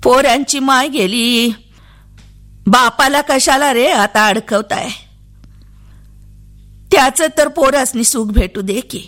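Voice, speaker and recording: A woman voicing an elderly rustic village man, coaxing and scheming in slow, drawn-out speech. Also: high-pitched, fairly bright tone, voice pushed up. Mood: urging. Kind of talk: dramatic storytelling monologue.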